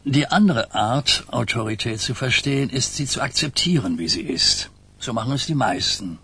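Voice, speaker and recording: A man's voice: medium pitch, expressive, moderate pace, creaky, sharp tone, husky, exaggerated voice. An actor in a radio drama playing an old Sicilian Mafia godfather, coarse and philosophical, who lectures in pondering phrases. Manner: pondering, lecturing, checks listener follows.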